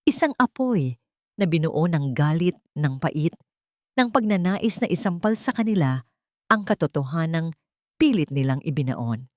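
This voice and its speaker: A woman's voice: fairly low pitch, darkish tone, no echo, studio quality. A woman narrating a dramatic story in an emphatic, suspenseful way, often in short broken phrases. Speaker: audiobook narrator.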